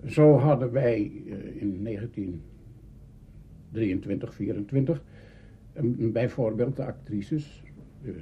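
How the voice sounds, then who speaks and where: An older man with a Netherlands Dutch accent, reminiscing in an interview in a deliberate, halting way, searching for words. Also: fairly low pitch. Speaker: interviewee recalling early radio drama. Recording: dull, slightly noisy interview recording.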